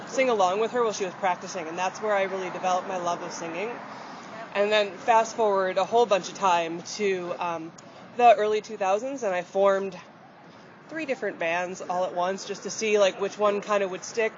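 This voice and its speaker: Chatty woman singer on a noisy street. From rural Michigan, USA. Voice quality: deeper voice. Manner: chatty and open, with frequent fillers and light laughs. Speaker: country singer and accordionist. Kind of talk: casual interview answers. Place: outdoors on a city street. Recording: dull outdoor audio with loud traffic.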